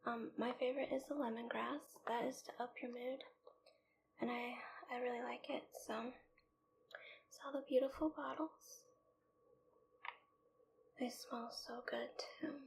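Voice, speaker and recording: A woman speaking in a soft, breathy whisper, gently and hesitantly walking the viewer through an ASMR video. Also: fairly high pitch, steady tone, unhurried, pauses often. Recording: clearly audible background noise.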